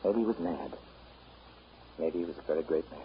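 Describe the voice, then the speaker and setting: A man's voice: rumbling low, fairly quick, slight background noise. An actor playing an earnest, wry American man with an American accent, explaining history reflectively in a 1930s radio drama. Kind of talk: 1930s radio drama acting, conversational storytelling. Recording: dull old 1930s radio recording.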